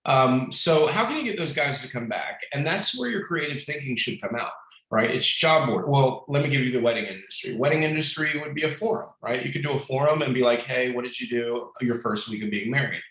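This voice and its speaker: A man hosting a casual webinar, friendly and rambling, with quick asides. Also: medium pitch, fairly bright tone, echoey room, rough recording. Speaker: webinar host. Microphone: video call.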